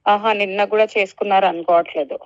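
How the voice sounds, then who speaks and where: A woman's voice: medium pitch, fairly even intonation, fast talker, no echo. A woman in a casual phone chat, answering in an unimpressed, dismissive way. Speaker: costume designer on a phone call. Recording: slightly dull phone call.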